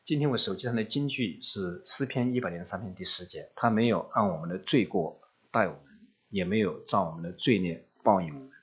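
Guy interpreting a sermon, quick talker, husky low voice, muffled. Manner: quick, steady relaying of each phrase.

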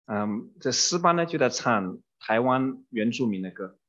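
A man's voice: medium pitch, lively intonation, normal speed, fairly bright tone. A man interpreting a sermon phrase by phrase, keeping pace with the preacher and using some fillers. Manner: renders each phrase promptly, with some fillers. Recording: dull muffled recording.

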